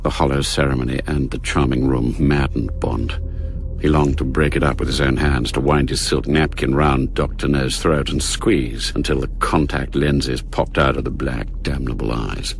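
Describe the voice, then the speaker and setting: A man narrating a spy thriller radio drama as a grave storyteller, in a measured, descriptive way. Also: rumbling low, steady tone, vocal fry, slight background noise.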